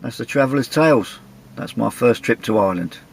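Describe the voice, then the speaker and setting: An English man, middle-aged, with a gravelly, husky voice, telling a travel story in a chatty, wry, self-mocking way. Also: medium pitch, expressive, fairly quick. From England. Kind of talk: casual anecdotal storytelling.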